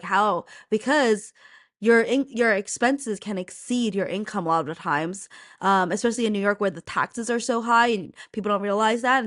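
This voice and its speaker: A woman's voice: mid-range voice, a bit bright, dry recording, studio quality. A middle-aged woman from the United States, a real estate broker who is the guest on an interview show, talking candidly in a chatty way with frequent fillers. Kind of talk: casual interview talk.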